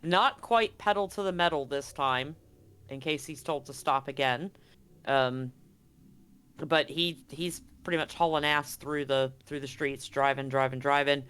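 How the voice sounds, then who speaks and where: A game master improvising narration for a tabletop game, with dramatic pauses and drawn-out emphasis. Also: mid-range voice, steady tone, a bit bright, smooth.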